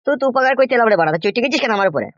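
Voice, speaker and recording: Man voicing a quick, nosy cartoon village uncle. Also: very high voice, expressive, fairly bright tone, no room sound. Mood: goading. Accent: rural West Bengal. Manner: questioning, impatient, colloquial. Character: nosy, exasperated village uncle, goading and correcting. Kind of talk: comic cartoon dialogue. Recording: dull lo-fi sound.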